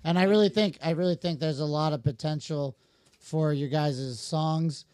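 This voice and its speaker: A man's voice: on the high side, steady tone, moderate pace, darkish tone, clean tone, dry recording. A man co-hosting a podcast on a headset in a small studio, eagerly agreeing and saying "dude" a lot. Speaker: podcast co-host. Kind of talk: casual chat.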